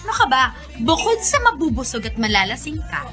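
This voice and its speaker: A woman playing a young, smug, scheming hacienda woman who feigns sweetness in a Filipino radio drama, with animated, gleeful delivery. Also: sits high, brisk, music in the background. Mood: smug, gloating.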